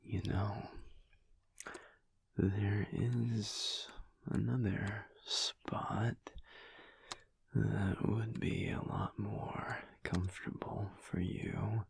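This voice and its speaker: Werewolf husband male actor, audio roleplay: husky, breathy, very slow delivery, hushed and close, affectionate and dryly teasing. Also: flat delivery, dry recording, in a whisper, exaggerated voice. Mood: low, wry. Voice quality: breathy, gravelly low murmur. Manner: slow, hushed, wry drawl with pauses. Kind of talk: intimate audio roleplay, whispered and murmured.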